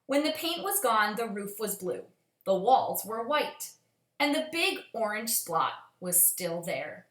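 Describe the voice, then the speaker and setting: A woman reading a children's storybook aloud as a storyteller, with expressive, measured phrasing. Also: mid-range voice. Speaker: storyteller, teacher. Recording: echoey room.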